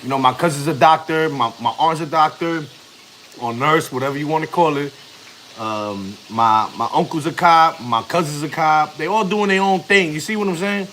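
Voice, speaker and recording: A man's voice: a little high, normal speed, a bit bright, a little hiss or hum. A man in his thirties, a family vlogger, chatting casually on a live stream in slang, rambling and chatty. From United States. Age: 30s.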